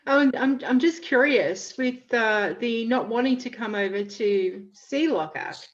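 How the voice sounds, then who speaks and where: A woman's voice: fairly high pitch, unhurried, muffled recording. A woman hosting an interview, asking curious questions. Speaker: interview host. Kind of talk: casual interview.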